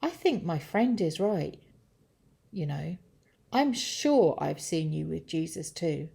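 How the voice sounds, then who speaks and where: A woman's voice: deep voice, very animated, slow pace, gravelly, warm tone, husky, exaggerated voice. A woman voicing an accusing young servant girl in a children's story, bold and insistent. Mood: suspicious.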